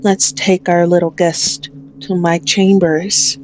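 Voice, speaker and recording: A woman's voice: lowish voice, flat delivery, slow pace, darkish tone, a little hiss or hum. A woman playing a possessive, manipulative female mafia boss in an ASMR audio roleplay, menacing and taunting with a mock-tender manner. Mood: cold threat.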